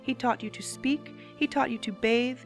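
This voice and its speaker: A solemn pony princess speaks gravely and tearfully, as a woman reads her part, her voice set a little high. Her measured lines sit over soft background music. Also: fairly even intonation, close to an everyday voice. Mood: sorrowful. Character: solemn sorrowful female pony princess ruler. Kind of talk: dramatic reading.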